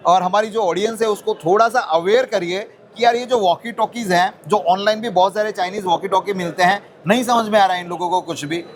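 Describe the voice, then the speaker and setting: A man's voice: sits high, fairly quick, hardly any pauses. A man hosting a conversational explainer interview, mixing English phrases into his Hindi. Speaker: host interviewing a guest.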